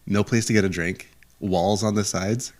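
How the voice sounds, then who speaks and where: Male podcast co-host, fairly low voice, casual and playful, chuckles through his riffs. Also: fairly even intonation, normal speed, a little mellow. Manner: playful, riffing, often chuckling. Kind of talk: casual comedic banter.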